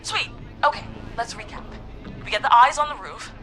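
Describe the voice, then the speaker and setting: A woman voicing a gutsy, nervy teenage girl who leads an escape plan in a video game, excitable and rallying. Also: a little high, normal speed. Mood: determined, upbeat. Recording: music behind the voice.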